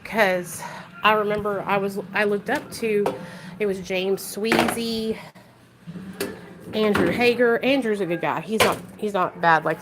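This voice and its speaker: A woman's voice: mid-range voice, unhurried. A woman vlogging about recovery, talking passionately and bluntly with a strong voice and free swearing. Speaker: vlogger speaking on addiction recovery. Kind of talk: casual direct-to-camera talk. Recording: clear background noise with clicks and kitchen clatter.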